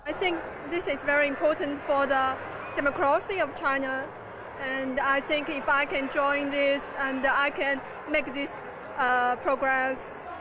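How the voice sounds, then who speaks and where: A woman's voice: high-pitched, level tone, measured pace. A young adult woman answering informally in a street interview. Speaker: interviewed protest marcher. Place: outdoors on a street. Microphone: handheld reporter's microphone. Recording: clear outdoor street noise.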